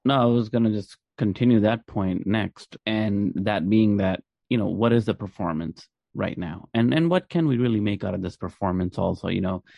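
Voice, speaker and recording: A man's voice: fairly low pitch, moderate pace, vocal fry, hardly any pauses, no echo. A man co-hosting a sports podcast, talking casually and explaining his points with rhetorical questions. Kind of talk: casual cricket discussion. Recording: dull lo-fi dry audio.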